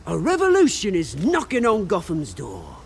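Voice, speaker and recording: A cocky British rogue from a cinematic game swaggers in a fairly high, wildly swooping voice. He is theatrical and mercurial, chummy and menacing at once. A faint ambient noise lies behind him. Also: wildly swooping pitch, normal speed, vocal fry, full character voice.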